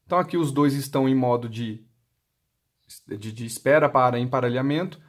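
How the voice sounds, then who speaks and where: A man's voice: medium pitch, a little fast. A man with a Brazilian accent demonstrating a product step by step, explaining by asking and answering his own questions. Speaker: product demonstrator for motorcycle intercom seller.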